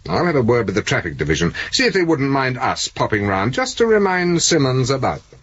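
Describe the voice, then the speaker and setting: An actor playing a brisk, dryly wry senior British police officer in a 1970s radio drama, clipped and commanding. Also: male voice, mid-range voice, big pitch swings, a bit bright, breathy edge. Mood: dryly authoritative. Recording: muffled vintage radio broadcast.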